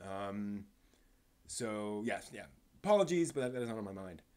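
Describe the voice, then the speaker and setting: A man hosting a casual live stream, speaking in a halting, rambling way and stumbling over his words, sounding somewhat tired. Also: fairly low pitch, lively intonation, mellow. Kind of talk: casual live stream chat, answering chat questions.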